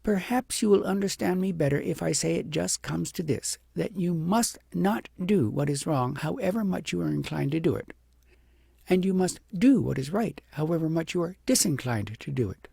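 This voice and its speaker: A man voicing a wise woman in an audiobook, a grave, loving and patient teacher who speaks in an earnest, measured, instructive way. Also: on the high side, animated, creaky, warm tone, breathy edge, no echo, studio quality, pitched above the performer's own voice, full character voice. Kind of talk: audiobook character voice.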